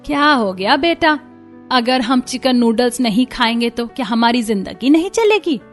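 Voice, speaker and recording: A woman's voice: on the high side, animated, moderate pace, soft background music, lightly acted. A woman voicing a firm, peacekeeping middle-aged mother-in-law in a cartoon story, reasoning and admonishing. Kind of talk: Hindi cartoon story voice acting.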